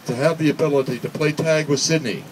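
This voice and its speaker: A man reading a prepared speech at a charity event in an earnest, measured way, occasionally stumbling over words. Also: a little high, steady tone. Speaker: speaker reading another person's speech. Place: charity event finish line, before a gathering. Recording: distant PA speech with background noise.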